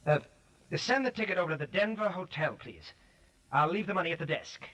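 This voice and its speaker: A man's voice: high-pitched, lively intonation, fairly quick, a bit bright, low-quality recording. An actor playing a scheming, menacing man with a deceptively casual manner, in 1940s radio acting style. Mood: casual, calculating. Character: smooth, scheming, menacing man plotting an alibi. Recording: vintage 1940s radio recording.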